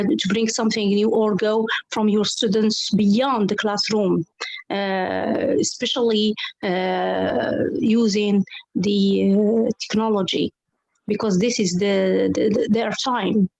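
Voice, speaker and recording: A woman's voice: mid-range voice, steady tone, takes it slowly, vocal fry, darkish tone, no room sound, rough recording. A woman from Morocco, an English teacher speaking on an online round table, hesitant and full of fillers and restarts. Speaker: English teacher and club coordinator.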